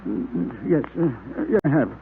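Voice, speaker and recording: A man's voice: mid-range voice, lively intonation, unhurried. An actor playing a nervous, evasive, frightened man in a vintage radio mystery, hesitant, stammering and defensive. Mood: evasive, uneasy. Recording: old tinny noisy radio recording.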